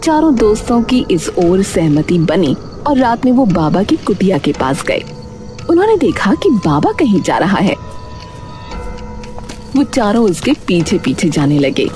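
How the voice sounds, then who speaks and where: A woman's voice: medium pitch, sing-song, unhurried, mellow. A woman reading a scripted moral story as its narrator. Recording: background music under the voice.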